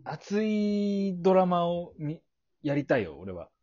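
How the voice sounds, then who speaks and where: A man's voice: sits high, big pitch swings, slow, mellow, highs cut off. A man chatting casually as a podcast co-host, playful and teasing. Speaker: podcast co-host in a male comedy duo. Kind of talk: casual comedic banter.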